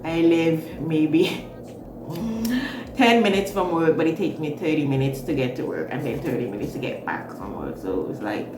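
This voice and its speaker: A woman's voice: low-pitched, moderate pace, reverberant, far from the mic, noisy background. A woman in her thirties from Jamaica with a Jamaican accent mixed with Bahamian, chatting casually and expressively to viewers as a vlogger. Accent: Jamaican with Bahamian mix. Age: 30s. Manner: chatty, expressive, repeats words for emphasis. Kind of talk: casual storytime chat.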